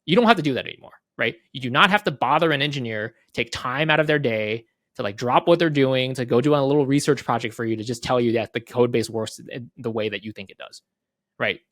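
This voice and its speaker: Fast-talking man giving a casual demo. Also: medium pitch, fairly bright tone, no echo, clean studio sound. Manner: conversational, often checks in with tag questions and acts out short exchanges. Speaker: guest product leader giving a software demo. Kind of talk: casual off-the-cuff product walkthrough.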